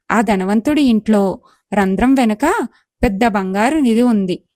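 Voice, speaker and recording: A woman acts out a patient, wise goddess in human form for a storybook tale. Her voice is fairly high and dryly recorded, with calm, instructive reassurance. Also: no room sound. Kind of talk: acted moral story dialogue.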